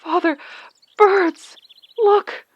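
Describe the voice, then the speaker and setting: A woman's voice: very high-pitched, steady tone, drawn-out words, no echo, exaggerated voice. A woman playing an anxious, loyal young adult daughter in an old-time radio drama, earnest and dramatic. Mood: fearful awe. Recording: dull, dry lo-fi radio recording.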